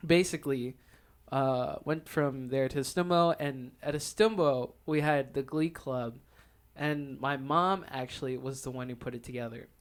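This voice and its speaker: A man's voice: medium pitch, measured pace. A young man co-hosting a podcast, chatting casually and telling personal stories with frequent laughter. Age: young adult. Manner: giggly, often laughs while talking. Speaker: podcast co-host. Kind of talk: casual chat, personal storytelling.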